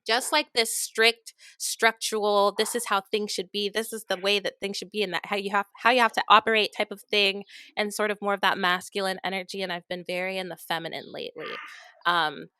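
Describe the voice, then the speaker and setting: A woman's voice: medium pitch, steady tone, a little fast, pushed and bright, smooth, no echo, studio quality. A woman hosting a podcast, chatting in an effusive, gushing way with lots of "like" fillers. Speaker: podcast host. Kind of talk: casual interview chat.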